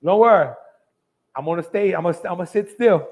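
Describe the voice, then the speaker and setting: A man's voice: high-pitched, fairly quick, darkish tone. A man hosts a casual live cooking show. He talks in a folksy, chatty way, with plenty of down-home phrasing, as if to regulars.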